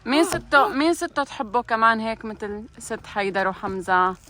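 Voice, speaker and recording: A woman's voice: on the high side, animated, fairly quick. An older woman, a grandmother, chatting casually with her grandchildren for a family video, teasing and coaxing them along. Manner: warm teasing, coaxing children, often laughing. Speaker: grandmother joining a family vlog.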